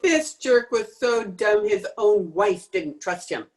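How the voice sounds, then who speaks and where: Tough swindler dame for radio crime serial, actress: cocky, sneering, slangy, animated intonation, mid-range female voice. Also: mid-range voice, takes it slowly. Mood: scornful. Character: brassy, defiant female swindler, tough and mocking. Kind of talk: old-time radio crime drama acting. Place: home recording over video call.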